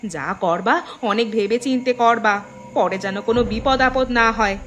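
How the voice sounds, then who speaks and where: A woman's voice: fairly high pitch, moderate pace, fairly bright tone, slight background noise, natural voice. A woman voicing a scornful, scheming village mother in a cartoon, with a calculating manner of speaking. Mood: cautious, conniving. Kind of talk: cartoon voice acting.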